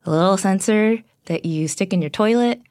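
A woman's voice: medium pitch, no echo, studio quality. A woman tech reviewer chatting animatedly as a podcast guest, a little sniffly. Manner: animated, quick asides with laughs. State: slightly sniffly after a cold. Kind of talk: casual podcast chat.

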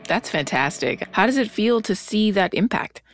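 A woman's voice: mid-range voice, expressive, normal speed, music in the background. A woman interviewing a guest in a casual, upbeat and encouraging way. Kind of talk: conversational interview.

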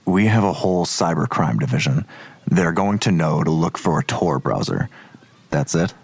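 Police detective, extremely deep pitch, rough husky tone, skeptical, terse, questioning, audio drama. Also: male voice, animated, moderate pace, no room sound, full character voice. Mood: challenging, doubtful. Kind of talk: naturalistic audio drama dialogue.

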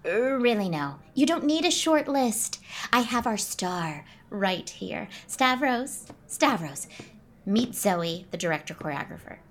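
An actress playing an imperious, self-absorbed Broadway diva with a gushing, condescending, bossy manner in a comedic audio drama. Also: female voice, medium pitch, lively intonation, measured pace. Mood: dismissive, bossy.

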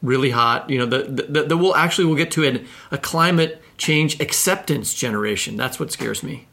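A man's voice: medium pitch, a little fast, gravelly, bright, forceful tone. A middle-aged man co-hosting a podcast in a casual, informal way, telling anecdotes and acting out bits of dialogue. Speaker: podcast co-host, writer. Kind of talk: casual, conversational interviewing.

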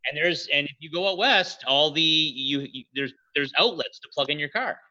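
A man's voice: fairly high pitch, normal speed, muffled recording. A man with a Canadian accent, co-hosting a casual podcast and telling stories playfully with acted-out asides. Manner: animated, playful storytelling with voiced asides. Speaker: podcast co-host.

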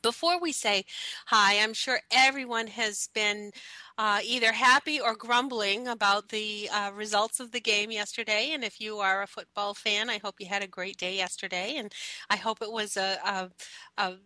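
A woman co-hosting a talk radio show, slightly raspy and hoarse from a cold, chatting casually with many ums and restarts. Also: mid-range voice, steady tone, normal speed, bright, forceful tone, dry recording, rough recording. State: hoarse from the tail end of a cold.

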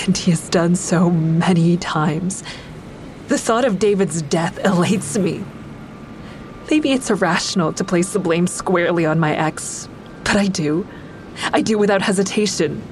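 An actress playing a bitter, grieving mother who is cold and unforgiving toward her ex-husband, in an audio drama with intense, deliberate delivery. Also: lowish voice, close to an everyday voice. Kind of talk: full-cast audio drama, first-person narration and dialogue. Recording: clearly noisy outdoor traffic ambience.

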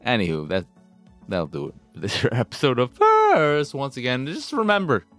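A man's voice: medium pitch, huge pitch swings, normal speed, fairly bright tone, soft background music. A man hosting a video game show with casual, sweary, rambling commentary and an exasperated, self-deprecating manner.

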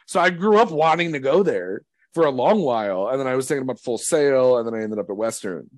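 A man's voice: medium pitch, lively intonation, fast, darkish tone, no echo. A man in his thirties hosting a podcast, playful and teasing, laughing often. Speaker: podcast host. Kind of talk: casual chat.

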